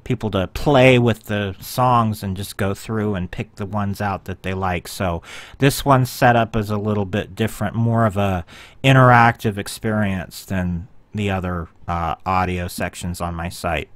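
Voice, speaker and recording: A man's voice: on the low side, unhurried, no echo. A man casually walking viewers through his website in a screen-share, rambling a little with frequent ums and self-corrections. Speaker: website owner giving a tour.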